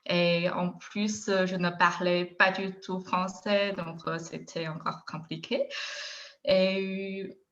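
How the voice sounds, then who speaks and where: Halting Chinese-accented woman, muffled lo-fi sound. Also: fairly low pitch, flat delivery, a bit bright. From China. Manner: halting, searching for words in French, often giggling. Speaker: interview guest, former engineer turned Chinese teacher. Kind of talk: casual personal storytelling.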